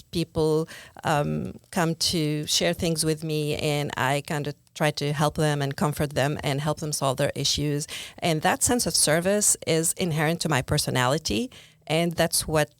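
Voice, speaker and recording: Deep-toned woman from Tunisia, measured and reflective, physician guest. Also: dry recording, studio quality. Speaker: pathologist, podcast guest. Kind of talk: thoughtful conversation.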